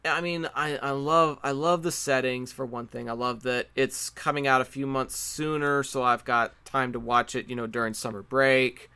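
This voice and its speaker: A man's voice: mid-range voice, fairly even intonation, normal speed, hardly any pauses, pushed and bright, clear, resonant tone, no room sound. A man co-hosting a podcast, talking casually about pop culture and repeating words for emphasis. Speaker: podcast co-host.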